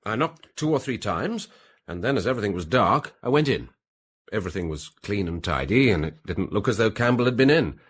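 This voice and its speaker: An actor playing an evasive, defensive British gentleman suspect in a radio detective drama, hesitant and drily understated. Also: fairly low pitch, animated, a little fast, slightly rough. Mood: defensive, uneasy.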